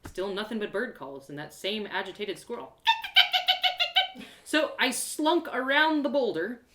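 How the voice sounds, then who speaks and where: A woman playing an excitable young heroine who tells her own woodland adventure in a theatrical, self-deprecating audio drama style. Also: medium pitch, unhurried, echoey room. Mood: cautious. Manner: theatrical, breathless exclamations, gasps, self-deprecating humour. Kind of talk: one-woman audio drama storytelling, first person.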